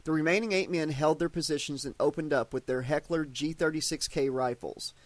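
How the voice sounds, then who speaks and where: A man's voice: a little high, brisk, dry recording. Dry, close-miked male audiobook narrator. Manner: steady storytelling delivery. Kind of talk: scripted narration.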